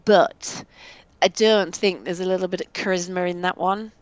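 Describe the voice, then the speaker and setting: Chatty woman, husky tone, loose and rambling, casual Q&A co-host. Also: lowish voice, steady tone, moderate pace, poor audio. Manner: chatty, rambling, laughs often. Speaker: voice actress and co-host. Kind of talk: casual chat and Q&A.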